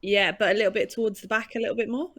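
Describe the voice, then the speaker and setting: A director steers a rehearsal with practical, matter-of-fact stage directions. The voice sits at an ordinary pitch. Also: steady tone, rapid-fire, a bit bright.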